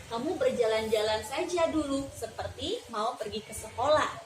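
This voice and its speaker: A woman voicing a sly, hungry male wolf in a children's story, loud and coaxing. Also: on the high side, moderate pace, close to an everyday voice. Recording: roomy classroom from far off, background noise.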